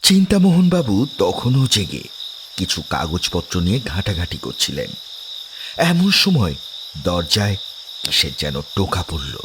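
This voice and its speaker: A man's voice: sits low, huge pitch swings, unhurried, noisy background. A man narrating a horror story in a dramatic, scripted storytelling style.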